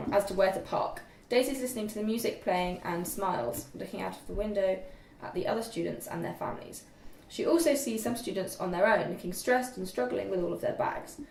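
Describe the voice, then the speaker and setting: A woman's voice: mid-range voice, gravelly, darkish tone, distant mic. Young woman narrator for script read-through, scripted delivery, echoey room, faint background noise. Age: young adult. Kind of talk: scripted narration, reading screenplay directions aloud. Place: room at a group table read.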